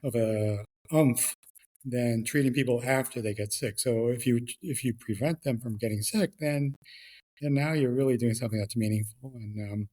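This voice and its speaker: Middle-aged New York-raised guest, hesitant and filler-heavy, mid-pitched, remote call. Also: male voice, dark tone. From New York, the Bronx. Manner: halting, many fillers and repeated words. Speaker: guest, regulatory affairs expert. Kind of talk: casual interview chat.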